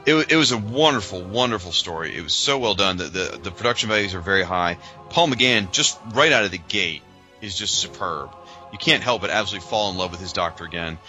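A man with a fairly low but very bright, forceful voice chats as a podcast co-host. He is informal and enthusiastic, with light music playing behind him. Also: animated, fast talker, muffled recording. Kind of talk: casual chat.

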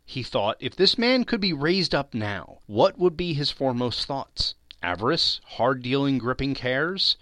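A man's voice: mid-range voice, lively intonation, a little slow, bright, forceful tone, no echo. A man narrating a classic story as a dramatic reading, theatrical and emphatic. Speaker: podcast host, narrator.

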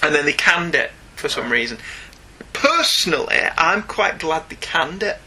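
A man's voice: a little high, expressive, normal speed, pushed and bright, poor audio. A chatty, enthusiastic man co-hosting a podcast, talking casually and reading out listener emails. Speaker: podcast co-host. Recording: faint background noise.

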